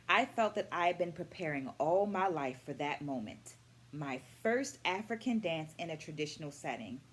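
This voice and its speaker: A middle-aged woman presenting a scripted book review to camera as an educator, in a measured, articulate way. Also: on the low side. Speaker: host, dance educator. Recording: clearly noisy room ambience.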